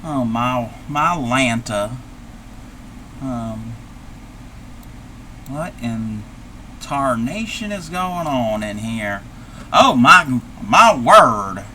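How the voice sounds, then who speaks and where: A man's voice: mid-range voice, animated, drawn-out words, long pauses, fairly bright tone, a little hiss or hum. A man voicing a folksy, befuddled old grandmother who is confused by technology, talking with old-fashioned exclamations on a live stream. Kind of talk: live stream character play.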